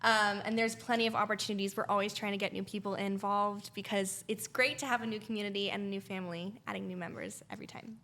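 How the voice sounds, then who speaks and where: A woman's voice: mid-range voice, steady tone, fast talker, hardly any pauses, bright, forceful tone. A young woman, enthusiastic and chatty, answering questions as an interview guest in casual talk. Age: young adult. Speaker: guest, youth theater director.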